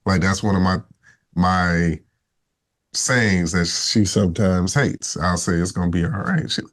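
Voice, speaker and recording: A man's voice: low-pitched, a little slow. A man talking in a laid-back, reassuring way about his marriage on a podcast. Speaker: podcast guest, husband. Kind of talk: casual chat.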